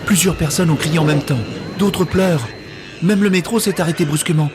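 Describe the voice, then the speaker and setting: A man playing an introspective, gently wry narrator in a science-fiction audio drama, speaking in a literary, observational way. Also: a little high, normal speed, noisy background, natural voice. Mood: alarmed, panicked.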